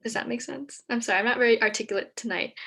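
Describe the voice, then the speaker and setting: A young woman from Texas whose first language is Vietnamese, speaking hesitantly with many pauses as a guest in a casual conversation. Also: a little high, vocal fry. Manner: hesitant, many ums, soft-spoken.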